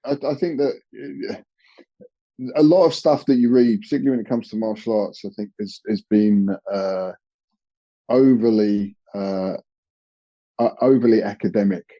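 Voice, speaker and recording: Low, mellow-voiced encouraging man. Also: muffled recording. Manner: encouraging, measured, with fillers. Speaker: karate instructor guest. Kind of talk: candid casual conversation.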